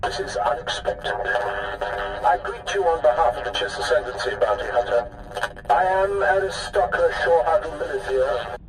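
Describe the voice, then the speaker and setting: A man's voice: a little high, animated, unhurried, echoey room, recorded from a distance, background noise. An actor playing a haughty, coldly demanding male alien aristocrat in a video game, speaking formally and imperiously. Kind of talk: video game cutscene acting.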